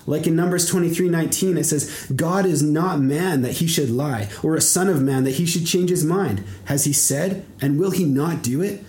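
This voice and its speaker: Young male pastor, earnest measured sermon delivery, slightly high voice, roomy echo. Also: darkish tone. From Canada. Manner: earnest, measured preaching with frequent word repeats. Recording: echoey room.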